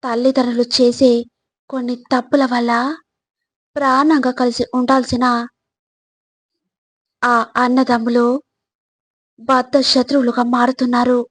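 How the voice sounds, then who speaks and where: A woman with a slightly high voice delivers scripted motivational narration. She speaks very slowly and instructively, setting out each phrase separately. The sound is dry and close. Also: level tone, dry recording.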